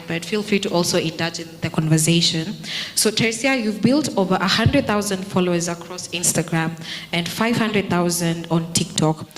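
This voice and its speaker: A woman's voice: lowish voice, a little hiss or hum, poor audio. A woman moderating a summit panel, chatty and playful with the crowd. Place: stage at a summit.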